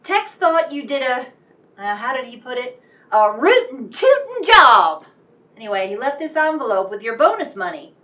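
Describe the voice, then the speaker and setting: An actress plays a bossy woman who runs a radio show. Her voice is bright and forceful with big swings in pitch, brisk and a little off the mic. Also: big pitch swings, distant mic, exaggerated voice. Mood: breezy. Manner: brisk, commanding. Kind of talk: radio play acting.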